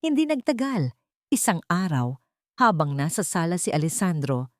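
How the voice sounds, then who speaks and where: A woman's voice: lowish voice, big pitch swings, moderate pace, no room sound, clean studio sound. A woman reading a scripted, dramatic story as an audiobook narrator.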